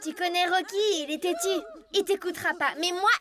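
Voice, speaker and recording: A woman voicing a bold, blunt little girl in a French cartoon, brisk, cheeky and decisive. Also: extremely high pitch, crowd noise. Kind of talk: French animated cartoon dubbing.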